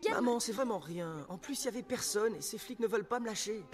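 A rebellious cartoon teenager played by a man, sullen and flippant. His high voice moves quickly and swings in pitch, with music behind it. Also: expressive. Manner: flippant, dismissive. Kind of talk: animated film dubbing.

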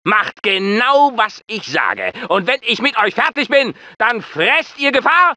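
High-pitched, commanding military drill sergeant. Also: male voice, sing-song, unhurried, bright, forceful tone, heavily acted. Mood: stern, commanding. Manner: commanding, clipped, insulting. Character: hard-barking, insulting military drill sergeant, adult man. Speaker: drill instructor. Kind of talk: video game voice acting, German dub.

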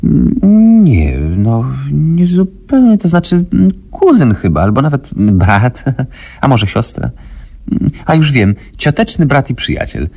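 A man's voice: medium pitch, wildly swooping pitch, takes it slowly, dark tone, voice pushed up, heavily acted. A man voicing a melodramatic, flustered woman in a comic radio story, lamenting and repeating herself. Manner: breathless repetitive lamenting, hesitant stammering. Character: panicky, flustered, shrill-voiced woman, melodramatic.

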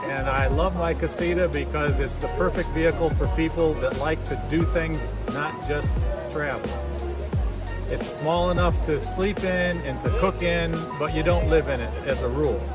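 An older man at an outdoor campground, explaining things plainly. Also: fairly high pitch. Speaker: campground guest. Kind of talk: casual testimonial.

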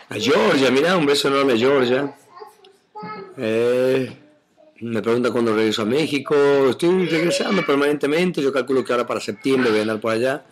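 A man with a mixed Argentine and Mexican Spanish accent, chatting casually from his home on a live webcam stream, rambling through viewer comments with many fillers and soft laughs. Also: lowish voice, noisy background. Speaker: actor doing a live webcam chat.

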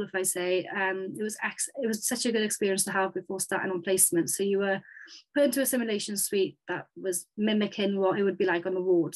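A woman's voice: mid-range voice, poor audio. A young Welsh woman, a native Welsh speaker, talks through her studies in an upbeat, chatty way, with plenty of ums. She speaks into a webcam on a video call. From Wales. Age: 20s. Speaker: physiotherapy student. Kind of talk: presentation over slides.

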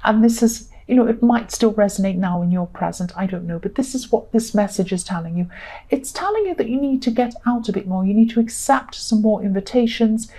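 A woman's voice: medium pitch, brisk, warm tone, a little hiss or hum. A woman giving a conversational tarot reading, speaking straight to the listener in a reassuring way and often repeating her points. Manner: repetitive, reassuring. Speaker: tarot reader.

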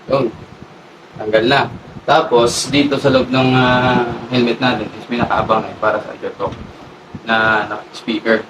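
A man with a Filipino accent giving a casual hands-on demo, haltingly thinking aloud as he works. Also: medium pitch, flat delivery. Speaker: motovlog host. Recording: distant mic with clear background noise.

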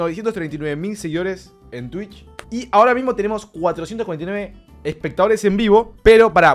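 A young man with an Argentine accent chats and jokes on a livestream, his voice sitting a little high. He talks into a close boom mic in a small bedroom setup. Soft music plays faintly behind him. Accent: Argentine Rioplatense Spanish. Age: 20s. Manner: chatty, jokey, reacting to his old clips. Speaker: streamer. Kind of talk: casual livestream chat. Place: small bedroom streaming setup with blue lights. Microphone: boom mic close to his face. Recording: clean streaming mic with faint background music. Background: soft background music.

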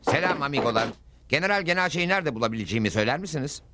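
A man voicing a calm, good-natured cowboy hero in a dubbed cartoon, courteous and easygoing, with music behind. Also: a little high, racing, natural voice. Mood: calm curiosity.

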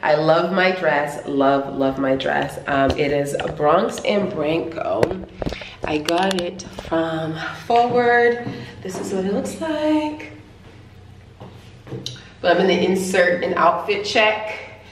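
A woman's voice: fairly low pitch, reverberant, big-room sound, background noise. A woman in her twenties talking casually and playfully to a camera held at arm's length in a hotel room. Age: 20s. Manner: playful, chatty, drawn-out emphasis. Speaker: vlogger.

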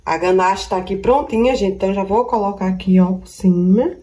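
A woman from Brazil speaks in a relaxed, chatty way, as if showing friends around her kitchen. Her country comes through in easygoing, affectionate phrasing full of little diminutives. Her voice sits at an ordinary middle pitch. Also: brisk, slightly rough. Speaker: vlogger. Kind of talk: casual cooking and shopping vlog.

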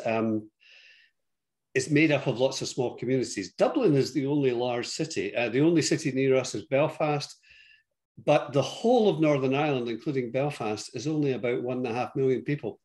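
A man's voice: mid-range voice, a little fast. Older Scottish man on a video call. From Scotland. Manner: warm storytelling, frequent yes and laughs. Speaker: guest. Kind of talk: casual interview chat. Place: home office.

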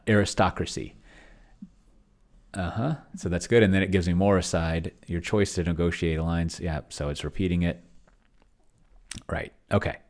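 A middle-aged man giving casual live commentary as he types and reads aloud, thinking out loud and trailing off, close on a desk microphone. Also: deep voice. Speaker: solo role-player and streamer demonstrating AI game mastering. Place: small indoor room. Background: computer keyboard typing.

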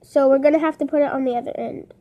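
A woman's voice: high-pitched, steady tone, racing, muffled recording. A young girl hosting a casual craft vlog from a bedroom floor, chatty and rambling as she talks straight to her viewers through a camera set on the floor. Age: child. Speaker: channel host. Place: small carpeted bedroom, seated on floor. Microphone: camera on the floor nearby.

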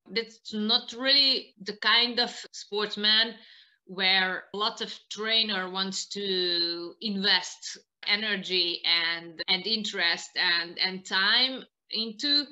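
A woman in her thirties with a Hungarian accent, answering interview questions in halting non-native English on a video call. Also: medium pitch, sharp tone. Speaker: interview guest, martial arts practitioner. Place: small living room indoors, on a video call.

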